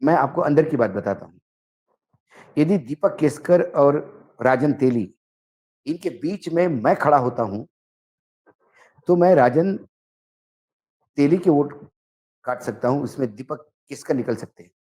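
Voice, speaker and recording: A man giving political commentary, speaking emphatically and deliberately in short phrases as he recounts dialogue. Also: on the high side, lively intonation, mellow. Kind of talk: political commentary, narrating and translating a reported conversation.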